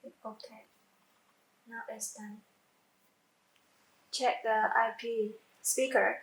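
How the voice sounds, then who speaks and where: A woman who speaks English as a second language, giving a halting step-by-step software tutorial with repeats and self-corrections. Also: fairly high pitch, flat delivery. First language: not English. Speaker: product tutorial presenter. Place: indoor room, demonstrating at a computer screen. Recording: echoey room sound.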